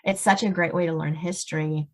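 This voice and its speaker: A woman's voice: low-pitched, steady tone, a little fast. A woman, talking casually as an author being interviewed. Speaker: author guest on a podcast.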